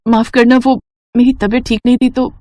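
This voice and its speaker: A woman's voice: on the high side, flat delivery, moderate pace, dark tone, no echo, clean studio sound, voice pushed up, lightly acted. A woman voicing a meek, overworked young daughter-in-law in a plaintive manner for a moral story. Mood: apologetic.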